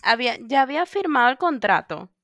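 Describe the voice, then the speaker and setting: A woman co-hosting a podcast, telling stories in animated casual chat with quoted asides. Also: high voice, fairly quick, no echo. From Venezuela. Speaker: podcast co-host.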